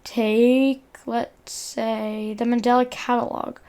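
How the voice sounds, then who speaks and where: A woman's voice: fairly high pitch, flat delivery, slow pace, mellow. A young woman narrating a video essay in an informal, earnest way, reading from a script and stumbling over words now and then.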